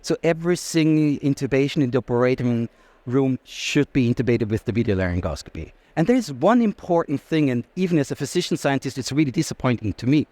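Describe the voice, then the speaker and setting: A man's voice: medium pitch, expressive, fast talker, darkish tone, no echo. A man from Austria with an Austrian accent, a native German speaker, explaining things emphatically as an interview guest. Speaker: interview guest, anesthesiologist and researcher. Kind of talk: conversational expert interview.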